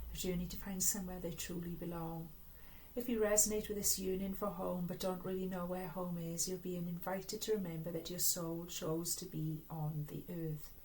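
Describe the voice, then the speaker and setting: A woman's voice: on the low side, mellow, slight background noise, poor audio. A woman with a Welsh accent, giving an earnest, rambling spiritual reading in a casual, reflective way. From Wales. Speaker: spiritual reader. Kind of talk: casual spiritual talk to camera.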